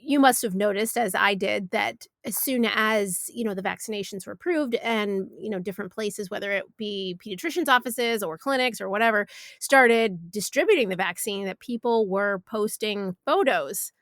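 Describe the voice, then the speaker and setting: A woman's voice: mid-range voice, moderate pace, fairly bright tone, dry recording, clean studio sound. A woman hosting a podcast interview, asking long, casual questions with conversational asides. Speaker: podcast host interviewing a guest.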